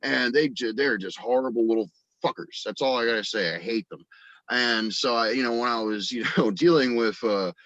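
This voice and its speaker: A man from the United States chatting casually as an interview guest, rambling and halting as he feels for his words. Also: medium pitch, fairly bright tone, no echo. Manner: halting, rambling, frequent verbal hedging. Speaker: guest, audio drama actor and writer. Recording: dry, dull lo-fi voice recording.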